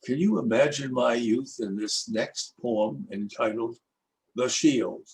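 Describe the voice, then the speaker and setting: An older Canadian man reading his own rhyming poems aloud in a measured, lilting recitation. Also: medium pitch, gravelly, low-quality recording. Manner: lilting, expressive recitation with rhythmic rhyme. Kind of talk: slow, measured poetry reading.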